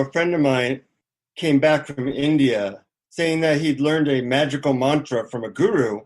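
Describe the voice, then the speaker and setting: A middle-aged man speaking as an interview guest, informally sharing anecdotes and advice in a conversational way. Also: mid-range voice.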